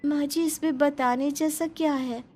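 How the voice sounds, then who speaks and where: A woman's voice: sits high, fairly even intonation, a little slow, warm tone, soft background music, voice pushed up. A woman voicing a polite, self-assured young daughter-in-law in a cartoon story, explaining things sweetly and matter-of-factly. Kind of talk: animated family story dialogue.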